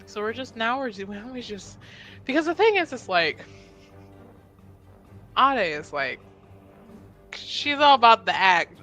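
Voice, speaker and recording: A woman playing a tabletop roleplaying game, chatting casually in a giggly, self-deprecating, animated way. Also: fairly high pitch, unhurried, pauses often, faint music behind.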